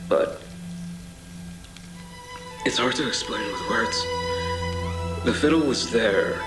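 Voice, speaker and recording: Guy doing a haunted deep voiced horror drama narrator, broody pauses, echoey w music underneath. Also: natural voice. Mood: haunted, ominous. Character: haunted man recounting a surreal ordeal. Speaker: voice actor. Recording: reverberant voice over music.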